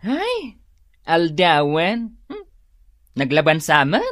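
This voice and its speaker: A woman's voice: low voice, wildly swooping pitch, slow pace, no echo, studio quality, heavily acted. An actress playing an inquisitive village gossip in a comedy radio drama, drawing out her words. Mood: curious.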